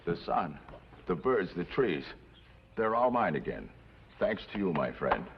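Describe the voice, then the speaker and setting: An actor playing a grateful, wry Mexican outlaw of about forty-five in an old TV western, speaking formally and floridly with a Mexican accent. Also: male voice, low voice, sing-song, measured pace, exaggerated voice. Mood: relieved, grateful. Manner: florid, formal speech sprinkled with Spanish. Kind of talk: 1950s television western acting. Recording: muffled, noisy old TV western soundtrack.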